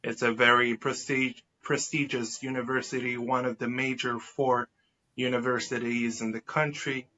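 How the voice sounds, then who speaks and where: A man's voice: mid-range voice, level tone, normal speed, sharp tone. A man from Israel whose native language is Hebrew, answering as a podcast guest in a wordy, formal, self-correcting way. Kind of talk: conversational interview answers. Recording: dull lo-fi sound.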